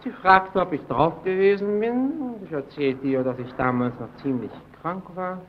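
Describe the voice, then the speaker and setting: A male actor as a sly, resentful lighthouse keeper in a vintage radio play. His voice sits high and swings widely as he insinuates and dodges. The recording is dull and hissy. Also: animated, moderate pace, lightly acted. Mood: sly, needling. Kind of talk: old German radio play acting.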